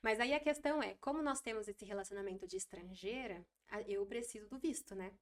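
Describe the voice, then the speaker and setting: A woman in her twenties, a podcast guest chatting casually and telling stories with lively repeats. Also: mid-range voice. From Brazil. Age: 20s. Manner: chatty, animated storytelling with quick repeats. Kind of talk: casual interview chat.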